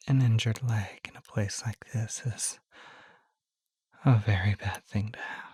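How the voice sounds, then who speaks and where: A woman voicing a gentle, shy young male naga in an ASMR roleplay, speaking in a soft, hesitant, breathy whisper. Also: very deep voice, no echo, exaggerated voice. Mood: protective, tender. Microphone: close microphone, dry.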